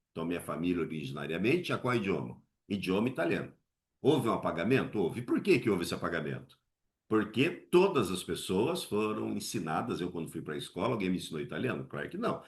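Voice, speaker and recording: A Brazilian man teaches an exam writing lesson in a deep voice that rises and falls a lot. He keeps asking the class questions and answering them himself. He often ends sentences with a quick "right?" tag. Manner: swings his pitch high to act out voices. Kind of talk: lively exam-prep essay lesson.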